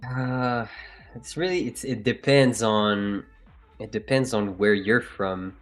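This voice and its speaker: A man from Algeria whose first language is French, co-hosting a live stream in relaxed, simple wording. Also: fairly low pitch, slow pace. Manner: relaxed, plain wording, restarts. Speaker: trading stream co-host. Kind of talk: casual live-stream chat. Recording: faint background music.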